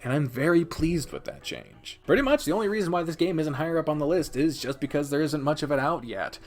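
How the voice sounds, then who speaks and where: A man's voice: on the high side, animated, quick pace, darkish tone. A man speaking as an irreverent, exclamatory reviewer in a casual commentary style, swearing freely. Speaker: video game reviewer and host of a ranking video.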